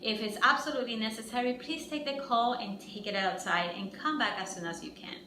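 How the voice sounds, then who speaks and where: A woman's voice: a little high, fairly quick, pushed and bright. A middle-aged woman from Ecuador, leading a fitness instructor training workshop in an upbeat, encouraging way and speaking directly to the group. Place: room with trainees. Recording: echoey room, mic far off, noisy.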